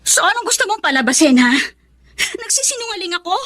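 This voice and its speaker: A high female voice as a spoiled, scheming rich schoolgirl in a radio drama. She is clingy, insistent and melodramatic, forever pleading her case. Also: very animated, a little fast, fairly bright tone, heavily acted. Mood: hurt, pleading. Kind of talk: Filipino radio drama acting.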